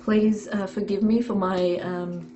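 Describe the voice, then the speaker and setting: A woman giving a tarot reading, hoarse from a sore throat, talking haltingly with many fillers and frequent "okay"s. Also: mid-range voice, flat delivery, dark tone, muffled recording, soft background music. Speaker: tarot reader.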